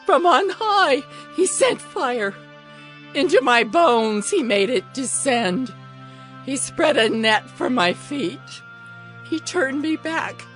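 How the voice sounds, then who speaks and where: A woman's voice: sits high, lively intonation, slow pace, fairly bright tone, exaggerated voice. A woman playing a ravaged city personified as a grieving, humbled woman, in an anguished, pleading lament for a dramatized scripture reading. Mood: stricken grief. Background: music behind the voice.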